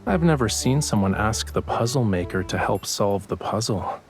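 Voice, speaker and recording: A man's voice: on the low side, expressive, moderate pace, music in the background. A man playing a teasing, smug young painter, the playful romantic lead of a video game. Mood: amused teasing. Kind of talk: English game voice acting.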